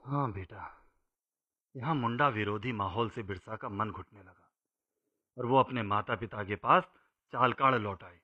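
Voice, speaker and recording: An actor playing a patient parent who narrates history to a child in a scripted, explanatory storytelling style. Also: male voice, on the low side, a little slow, long pauses, husky. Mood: explaining. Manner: explanatory, emphatic. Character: patient elder man telling history to his child. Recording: slightly dull, lo-fi audio.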